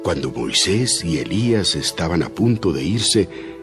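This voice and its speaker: A man narrating a dramatized Bible story in a measured, solemn scripted style. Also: sits low, big pitch swings. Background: prominent music.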